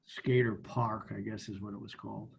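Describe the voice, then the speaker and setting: An American man giving informal, measured and somewhat halting explanations in an online meeting. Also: on the low side, level tone, gravelly, husky. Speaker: committee member and meeting host. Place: remote video meeting.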